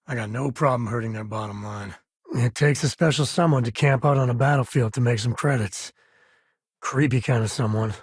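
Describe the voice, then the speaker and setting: A man's voice: medium pitch, a little fast, husky, no echo, studio quality. An actor playing an easygoing, wry space-frontier ranger and protective father in a video game, with folksy, informal speech. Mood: serious, casual.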